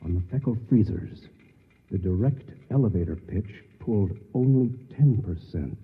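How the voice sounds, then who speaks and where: A man's voice: on the low side, expressive, normal speed, breathy edge. An actor playing an alarmed, determined everyman in an earnest, urgent 1950s radio drama style. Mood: urgent, explaining. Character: alarmed, determined everyman uncovering a sinister conspiracy. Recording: muffled, narrow old radio recording, reverberant.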